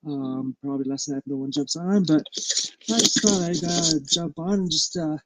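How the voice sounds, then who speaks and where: A man with a stuffy nose, hosting a casual live stream about his small business and talking haltingly with lots of ums and uhs. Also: a little high, fairly even intonation, heavy background noise.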